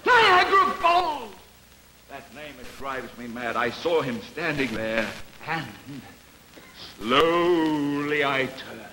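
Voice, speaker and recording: A deranged drifter bent on vengeance in vintage television comedy. His delivery is slow and theatrical, swooping widely from brooding to raving. The old broadcast recording is noisy. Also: a little high, big pitch swings, bright, forceful tone, full character voice. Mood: menacing, brooding. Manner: theatrical, drawn-out, melodramatic delivery. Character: wild-eyed deranged drifter, mad with vengeance, wheedling then raging. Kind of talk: 1950s live television vaudeville sketch acting. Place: television studio stage, live show.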